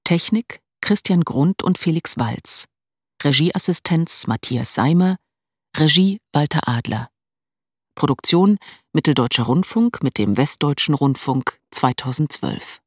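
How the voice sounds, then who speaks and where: A radio announcer reading formal scripted credits in a measured, list-like way, with a breathy voice close on a studio mic. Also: mid-range voice, lively intonation, no room sound.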